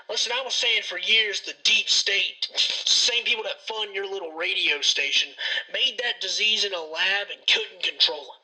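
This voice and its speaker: A man's voice: sits high, sharp tone, husky, full character voice, low-quality recording. An actor playing a defiant, paranoid male conspiracy-theorist host in a radio drama, with a folksy, suspicious, ranting manner. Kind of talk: radio drama phone interview.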